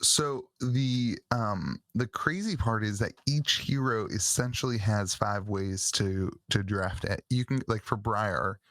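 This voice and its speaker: Hoarse man chatting on a podcast. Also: on the low side, fairly bright tone, dry recording. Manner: halting, many restarts and fillers, enthusiastic. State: slightly raspy, hoarse throat. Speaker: podcast co-host. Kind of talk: casual chat about a card game.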